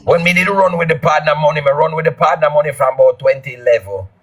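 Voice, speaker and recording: A man with a Jamaican accent, boasting loudly and often shouting in a casual live chat. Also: a little high, fast talker, a crowd in the background.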